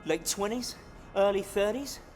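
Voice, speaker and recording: A man playing an excitable, colloquial hired gunman in a video game. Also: high voice, a little slow. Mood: chatty, sly. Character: nervous, chatty hired gunman, cocky working-class henchman. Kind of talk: video game cutscene acting. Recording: loud music under the voice.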